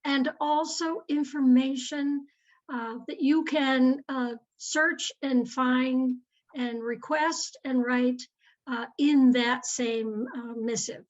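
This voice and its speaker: High-voiced woman with a scratchy voice. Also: steady tone. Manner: apologetic, slow and halting. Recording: dull lo-fi call audio.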